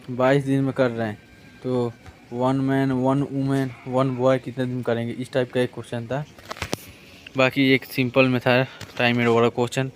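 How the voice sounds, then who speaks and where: A man giving an informal exam review lesson, explaining in a colloquial, repetitive way as a coaching teacher. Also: medium pitch. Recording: clear background noise.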